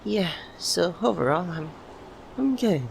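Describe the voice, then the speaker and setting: A nervous, lovesick young man, played by a male voice actor in an audio roleplay. He talks very slowly, halting and stammering as if to his childhood best friend. There is clear ambient noise behind him. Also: high voice, full character voice, poor audio. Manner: hesitant, halting, stammering with pauses. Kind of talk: ASMR roleplay, soft emotional monologue to the listener. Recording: noticeable steady background ambience noise.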